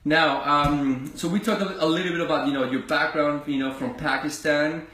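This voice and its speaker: A man's voice: a little high, steady tone, moderate pace, sharp tone. A man interviewing a guest, asking rambling questions in a chatty way. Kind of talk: casual interview. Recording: echoey room.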